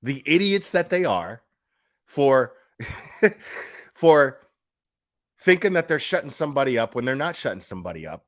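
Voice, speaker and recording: A man's voice: mid-range voice, sing-song, unhurried, pauses often. A man giving casual, opinionated commentary as a streamer, speaking in a blunt, emphatic, foul-mouthed manner.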